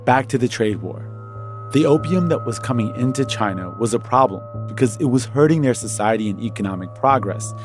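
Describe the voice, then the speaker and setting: A man giving scripted explainer narration in a measured, storytelling way. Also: medium pitch, lively intonation, music in the background.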